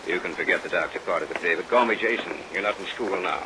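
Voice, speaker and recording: Deep-voiced driven older scientist played by a man. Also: fast talker, close to an everyday voice. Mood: cordial. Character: older male scientist, fatherly, driven, obsessed with reviving dead. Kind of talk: 1950s radio drama acting. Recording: noisy vintage radio recording, rain sounds behind.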